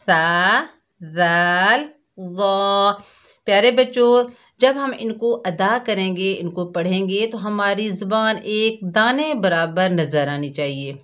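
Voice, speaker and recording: Slow, patient woman teaching pronunciation. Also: on the low side, fairly bright tone. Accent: Pakistani Urdu. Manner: patient and repetitive, drawing out demonstration sounds. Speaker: Quran recitation teacher. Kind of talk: slow classroom instruction to children.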